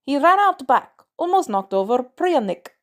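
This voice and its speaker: A woman voicing a blunt elderly Russian woman shopkeeper in a story, loud and curt with clipped sentences. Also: high-pitched, very animated, normal speed, pitched above the performer's own voice. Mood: loud, insistent. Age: older.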